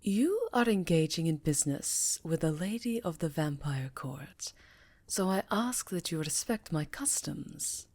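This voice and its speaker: Casting: regal vampire countess, played by a woman, low and unhurried, courteous yet sly, for fantasy ASMR roleplay. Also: darkish tone, dry recording, heavily acted. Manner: formal, languid, savoring words.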